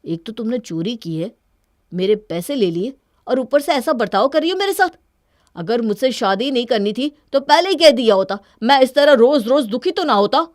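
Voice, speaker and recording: A woman's voice: on the high side, lively intonation, moderate pace, dry recording, studio quality, natural voice. A woman voicing a hurt, guarded young husband in a cartoon story, with an earnest, plaintive manner. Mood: angry, hurt.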